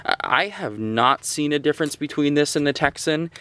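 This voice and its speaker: A man reviewing a gadget in a casual, unscripted, conversational and somewhat halting way. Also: fairly high pitch, background noise. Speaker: gadget reviewer, host of a radio channel.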